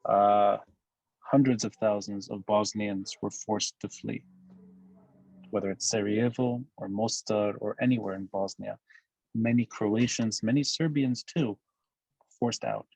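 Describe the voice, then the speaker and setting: A man from Lebanon speaking as a guest on a podcast interview, making emphatic, measured points. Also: lowish voice, fairly even intonation, gravelly, a little mellow, no room sound, low-quality recording. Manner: measured, emphatic analytical speech. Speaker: guest commentator.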